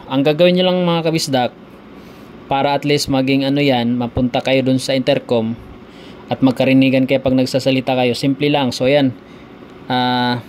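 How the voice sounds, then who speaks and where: Man, halting tutorial talk, faint hum. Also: medium pitch. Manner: halting, repetitive, filler-heavy explaining. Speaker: tutorial video host. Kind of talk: casual unscripted product tutorial.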